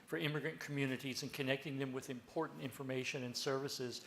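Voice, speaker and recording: Man moderating a panel, measured. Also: medium pitch, steady tone, vocal fry, a bit bright, a little hiss or hum. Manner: measured, polite, with fillers. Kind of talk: formal panel introductions.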